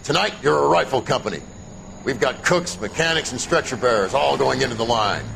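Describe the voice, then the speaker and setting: An actor playing a gruff, unbending army commander in a video game, curt and commanding with a gravelly voice. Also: male voice, mid-range voice, expressive, brisk. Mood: stern. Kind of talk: video game war cutscene acting. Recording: loud battlefield noise behind.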